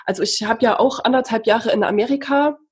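A woman from Saxony-Anhalt, Germany, hosting a casual conversation and talking in a warm, admiring, chatty way. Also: a little high, brisk, breathy edge. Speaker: host.

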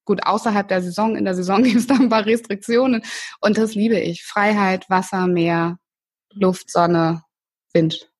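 Husky chatty woman from northern Germany. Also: mid-range voice, fairly even intonation, normal speed. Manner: warm, enthusiastic, chatty with many fillers. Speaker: career coach, podcast guest. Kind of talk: casual interview talk.

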